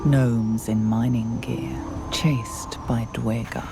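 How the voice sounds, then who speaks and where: A woman narrating a fantasy game in a measured, hushed, dramatic storyteller's delivery. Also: a bass voice, level tone, vocal fry. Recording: loud music behind. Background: loud game music.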